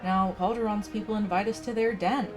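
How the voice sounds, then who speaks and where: A woman's voice: medium pitch, fairly even intonation, a little fast. Woman gamer commentating over game music. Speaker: gaming video host. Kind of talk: casual game playthrough commentary, reading quest text aloud.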